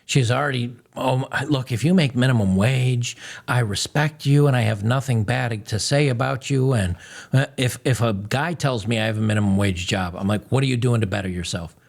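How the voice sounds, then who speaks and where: A man's voice: mid-range voice, normal speed, vocal fry. A man hosting, giving blunt, mocking commentary on video clips. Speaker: host.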